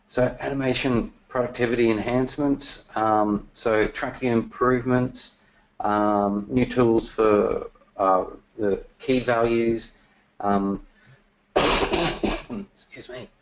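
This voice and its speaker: A man presenting software features in a webinar, talking casually with many fillers, his voice getting worn and losing strength. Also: medium pitch, steady tone, slow pace, rough recording. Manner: casual, many fillers and restarts, throat clearing.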